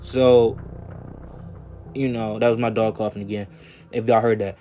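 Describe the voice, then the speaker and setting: A man's voice: lowish voice, little pitch movement, a little slow, muffled recording, faint music behind. A fourteen-year-old boy rambling casually to his viewers in a storytime vlog, full of "you know" fillers and restarts, talking straight to the audience in informal slang. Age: teens, 14. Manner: addresses viewers directly, informal slangy speech. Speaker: vlogger. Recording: loud background noise and clicks.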